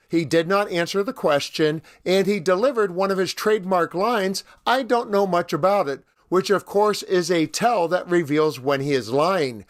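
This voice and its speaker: A man with a slightly rough voice hosting scripted political commentary in a sarcastic, mocking manner. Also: sits high, no echo, clean studio sound. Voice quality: somewhat rough voice, as the speaker says. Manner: wry, mocking. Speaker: commentary host.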